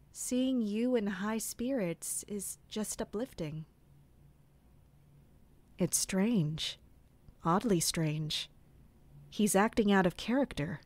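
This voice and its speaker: Formal, measured female mercenary, played by an actress, dry recording. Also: mid-range voice, darkish tone, no room sound, close to an everyday voice. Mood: polite, deferential. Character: Kina, observant female mercenary serving a prince. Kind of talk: audio drama dialogue and inner narration.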